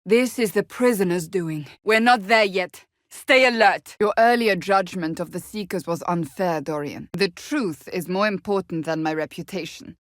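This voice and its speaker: Woman acting as stern devout warrior woman, fantasy game character, lowish pitch, blunt, formal, commanding, earnest, very dry studio sound. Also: normal speed, few pauses, no echo, lightly acted. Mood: urgent, commanding.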